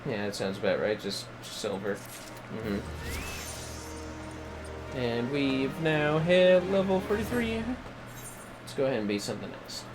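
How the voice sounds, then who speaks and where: A man is streaming a game and talking half to himself in loose, slangy phrases. His medium-pitched voice moves around a lot, and music plays faintly behind him. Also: expressive, unhurried, long pauses, rough recording. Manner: informal, slangy. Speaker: game livestreamer. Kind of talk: casual gaming commentary, muttering to self.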